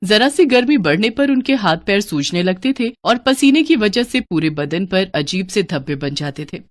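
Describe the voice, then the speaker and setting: A woman's voice: medium pitch, expressive, normal speed. A woman narrating a scripted moral story as a storyteller.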